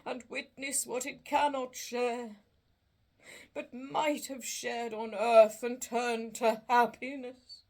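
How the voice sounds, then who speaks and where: A woman voicing a doomed, chained male ghost, speaking slowly and solemnly in a storybook reading. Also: little pitch movement, darkish tone. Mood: mournful. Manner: slow, booming and drawn out.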